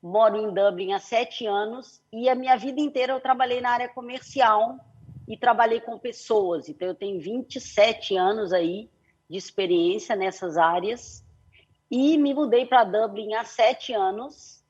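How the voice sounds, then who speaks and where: A woman's voice: a little high, normal speed, darkish tone, slightly rough. Middle-aged Mineiro woman on a muffled call. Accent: Mineiro Brazilian Portuguese. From Belo Horizonte, Brazil. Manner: long flowing telling with frequent "né" and "é" fillers. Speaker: guest speaker, company CEO. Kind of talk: online panel storytelling.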